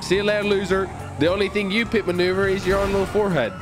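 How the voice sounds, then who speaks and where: A man giving excited gameplay commentary, taunting playfully, exclaiming and swearing. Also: high-pitched, fast. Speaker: gaming YouTuber playing online roleplay. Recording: music behind the voice. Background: music and game vehicle sounds.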